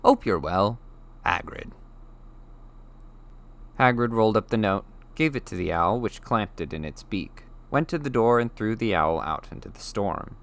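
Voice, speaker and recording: A man reading a storybook aloud as expressive scripted narration. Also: lowish voice, normal speed, hardly any pauses. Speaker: audiobook reader.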